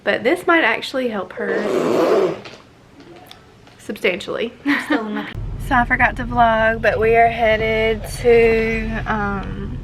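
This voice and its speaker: A woman in her late twenties, talking in a rambling, chatty way straight into her camera. Her voice sits on the higher side and sounds a little stuffy from allergies. The recording comes from a camera mic with clear noise behind her. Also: slow, long pauses. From Georgia, US. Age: late 20s. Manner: chatty, rambling, gushing when excited. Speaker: family vlogger. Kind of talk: casual vlog chat. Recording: noisy handheld camera audio.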